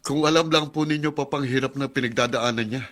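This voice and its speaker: An actor playing a caring brother and kindly uncle in a radio drama, with an earnest manner. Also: male voice, mid-range voice, steady tone, quick pace, fairly bright tone, lightly acted. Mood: pleading.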